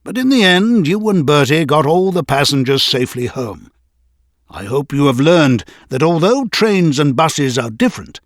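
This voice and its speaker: A man playing a kindly, authoritative older railway boss, measured and instructive, in a children's storybook. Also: on the high side, no room sound, clean studio sound.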